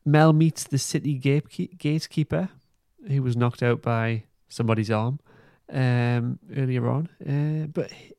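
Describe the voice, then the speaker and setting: A man hosting a podcast, giving a casual recap in an informal, halting way. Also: medium pitch, darkish tone, no room sound, studio quality. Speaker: podcast host. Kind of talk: casual plot recap and review.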